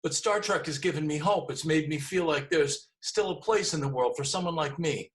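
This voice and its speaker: A man acting an earnest, higher-voiced war veteran. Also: moderate pace, fairly bright tone, natural voice. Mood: earnest, moved. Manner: earnest, confessional. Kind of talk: screenplay table read acting.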